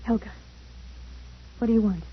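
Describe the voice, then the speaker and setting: High, husky, frightened young woman. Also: moderate pace. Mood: anxious. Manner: anxious, questioning, dramatic. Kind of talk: old-time radio thriller acting. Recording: muffled old radio recording.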